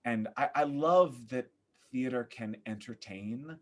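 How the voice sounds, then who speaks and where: A man giving a thoughtful interview as a podcast guest, searching for his words with an earnest, halting manner. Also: mid-range voice. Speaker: podcast guest, theater artist.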